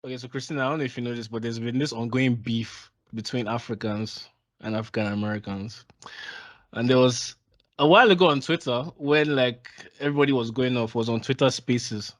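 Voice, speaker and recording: A man's voice: mid-range voice, brisk, a bit bright. A young man hosting a podcast, asking playful questions and laughing often in casual conversation. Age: young adult. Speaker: podcast host.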